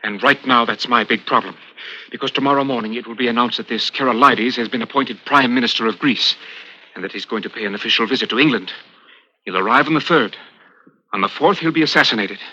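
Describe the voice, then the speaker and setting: Hunted american spy, really sharp forceful voice, urgent, old muffled radio suspense show. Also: male voice, mid-range voice, fast talker, slightly rough. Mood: grave, urgent. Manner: intense, urgent, emphatic. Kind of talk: 1950s radio suspense acting. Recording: muffled 1950s radio recording, music behind.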